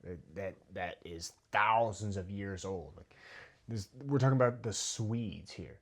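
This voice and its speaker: An American man speaks in a casual commentary monologue, his voice sitting a little low. He talks in a heated, halting way, restarting phrases and letting his volume sink suddenly. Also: a bit bright. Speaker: video commentator.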